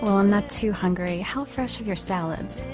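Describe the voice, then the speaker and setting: A woman's voice: medium pitch, normal speed, close to an everyday voice. A woman playing a polite, genteel television actress in an amateur audio play. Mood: polite, hesitant. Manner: polite, refined. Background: music.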